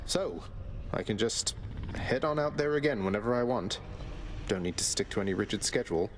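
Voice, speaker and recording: An actor playing a young prince of the underworld in a video game, wry, casual and courteous. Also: male voice, lowish voice, expressive, brisk, slight background noise, close to an everyday voice. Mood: thoughtful, easygoing.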